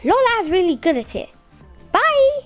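Eager high-pitched child puppet. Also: female voice, sing-song, measured pace, a bit bright, soft background music, exaggerated voice. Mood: eager, informative. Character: little child puppet Elle, eager young geography helper.

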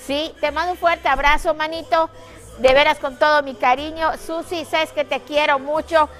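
A woman's voice: high-pitched, steady tone, normal speed, faint music behind. A middle-aged woman hosts a live TV cooking segment in a studio kitchen, chatty and affectionate with viewers, full of exclamations. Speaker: TV cooking show host.

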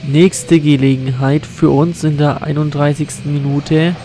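A man's voice: on the high side, flat delivery, unhurried, dark tone, faint music behind. A man with a cold and a blocked nose, commenting on a football video game he is playing, recorded on a USB condenser mic at home. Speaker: gaming YouTuber.

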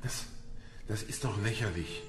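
An actor playing a captive banker in a crime radio drama, halting, agitated and pleading. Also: male voice, on the low side, lightly acted. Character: captive male banker, rattled, pleading and indignant. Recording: echoey room, music behind.

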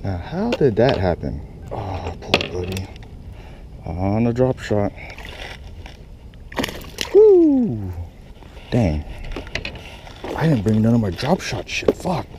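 Man, very low voice, casual fishing vlogger talking to himself, action-cam audio with clear outdoor noise. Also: wildly swooping pitch, slow, pauses often. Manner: muttering to himself, swears often. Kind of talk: casual talk while fishing. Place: small kayak on a lake outdoors. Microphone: head-mounted action camera.

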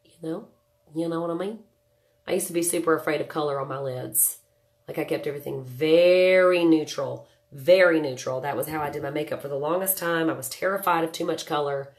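Low nasal woman with a cold. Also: a little fast. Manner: chatty, joking, greets viewers by name. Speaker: makeup livestream host. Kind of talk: casual live makeup tutorial chat. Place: small home room indoors.

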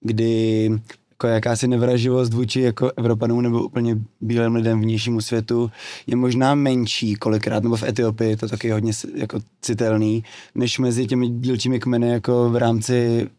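Mellow, monotone male panelist. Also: mid-range voice, dry recording. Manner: draws on personal experience, hedging. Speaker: guest. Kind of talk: panel discussion. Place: small studio with wooden table. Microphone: desk mic on boom arm.

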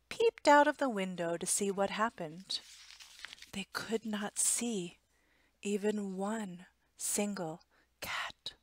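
A woman's voice: medium pitch, dry recording. A woman in her thirties reading aloud expressively for young children, with dramatic emphasis and asides to listeners, on a headset mic indoors. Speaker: storytime reader. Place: small indoor room at home. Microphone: headset boom mic.

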